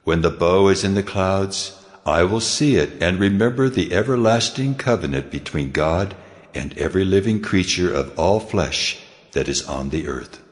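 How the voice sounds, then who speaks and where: A man's voice: low voice, expressive, normal speed. A man playing God as a solemn, commanding deity in a dramatised Bible audio reading. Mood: solemn, promising. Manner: solemn, authoritative. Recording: long cavernous reverb.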